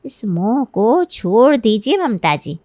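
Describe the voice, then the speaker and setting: A woman playing a smooth-talking, manipulative middle-aged mother-in-law in a Hindi cartoon story, persuasive and full of feigned concern. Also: a little high, sing-song, slow pace, dark tone.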